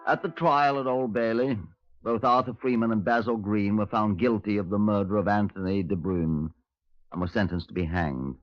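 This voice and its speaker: An actor in a 1950s radio drama playing a dry, stern Scotland Yard inspector, formal, terse and deadpan. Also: male voice, lowish voice, moderate pace, faint music behind, natural voice. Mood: sober narrating. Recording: dull lo-fi 1950s radio recording.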